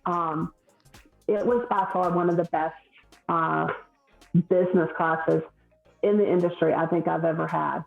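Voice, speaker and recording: A lower-voiced woman with a Southern US accent talks earnestly and haltingly, restarting her sentences often. Her voice sits in a roomy space and sounds dull and lo-fi. Faint music plays behind her. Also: gravelly. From Southern United States. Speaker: guest, hairstylist. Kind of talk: podcast conversation.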